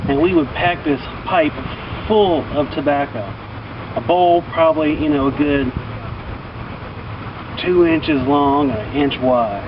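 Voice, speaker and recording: A man's voice: fairly high pitch, very animated, a little slow, creaky. Male storyteller, colloquial and rambling, in a dull, distant recording swamped by heavy ambient noise. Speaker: vlogger telling personal stories. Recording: distant mic, muffled, loud outdoor traffic-like noise.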